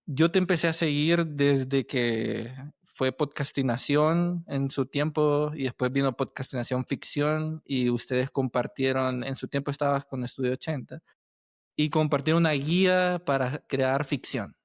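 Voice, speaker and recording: A man's voice: mid-range voice, a little slow, no echo, clean studio sound. A man hosting a conversational podcast interview, feeling his way through sentences and checking in with tag questions. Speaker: podcast host interviewing a guest.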